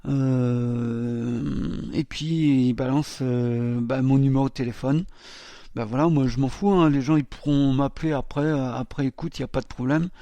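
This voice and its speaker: A man's voice: medium pitch, mellow, no room sound. A man hosting a web radio show, talking casually and hesitantly with many filler sounds.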